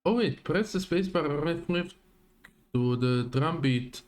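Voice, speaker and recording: A man's voice: fairly high pitch, a little slow. A man streaming a video game live, giving casual, offhand commentary as he plays. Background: faint game music.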